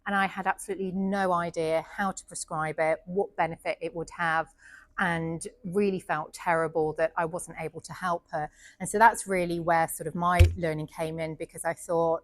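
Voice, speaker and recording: A woman's voice: fairly low pitch, level tone, normal speed, no room sound. A British woman doctor in her thirties or forties giving a friendly, chatty talk to an audience, with frequent ums. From United Kingdom. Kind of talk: informal presentation to an audience. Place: indoor venue with an audience.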